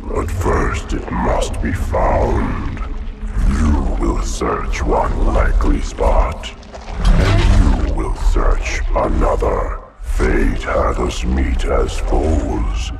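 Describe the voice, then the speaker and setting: An actor playing a huge ancient parasite monster in a game, speaking in slow, grand, rhyming pronouncements with a layered monster voice effect. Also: male voice, animated, far from the mic, loud music behind the voice, full character voice. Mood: menacing. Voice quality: gravelly, rumbling. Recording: loud game score, voice set back.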